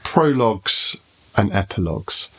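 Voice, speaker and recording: A man hosting a relaxed podcast discussion, posing questions with dry, teasing humour. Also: lowish voice, measured pace, slightly rough, rough recording. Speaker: podcast host.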